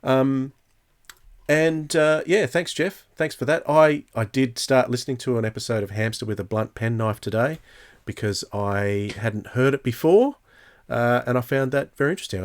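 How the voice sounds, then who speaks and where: A man with a mid-pitched voice hosts a casual podcast. He speaks in a halting, hesitant way, moving between reading aloud and chatting off the cuff. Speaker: podcast host. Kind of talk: casual podcast talk, reading out listener comments.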